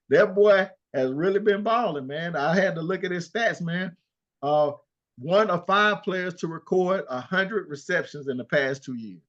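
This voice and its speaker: An older man co-hosting a casual sports talk show, animated and trash-talking as he rattles off stats. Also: sits high, measured pace, highs cut off. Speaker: co-host.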